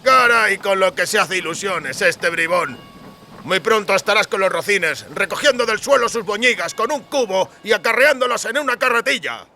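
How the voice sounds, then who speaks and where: A man's voice: sits high, lively intonation, normal speed, pushed and bright, slight background noise, pitched above the performer's own voice, heavily acted. A man voicing a scornful, worldly mercenary soldier in an audiobook, with a sardonic, cutting manner. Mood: mocking. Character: scornful, worldly male mercenary soldier, mocking know-it-all. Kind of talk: audiobook character acting.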